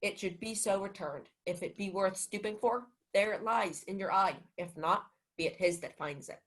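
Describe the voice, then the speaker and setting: A woman's voice: medium pitch, normal speed, close to an everyday voice, poor audio. A young woman playing a pompous, indignant male household steward in a Shakespeare radio play. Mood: haughty, peevish. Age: young adult. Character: Malvolio, haughty scolding male household steward.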